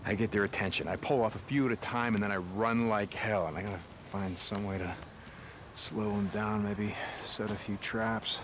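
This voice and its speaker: A man voicing a gruff, hardened biker drifter. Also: fairly low pitch, steady tone, moderate pace, background noise, close to an everyday voice. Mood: determined. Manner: rough, blunt, swears freely. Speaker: biker soldier, main character. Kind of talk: video game cutscene acting. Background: game score music.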